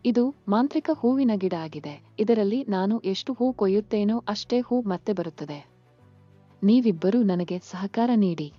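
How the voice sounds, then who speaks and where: A woman acting as a young village daughter-in-law in a cartoon folk tale. She has a medium-pitched voice with a slightly dull recording and faint music behind. She sounds polite, earnest and hopeful. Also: expressive, normal speed, no room sound, lightly acted. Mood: glad, earnest. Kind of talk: animated moral story. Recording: muffled lo-fi sound.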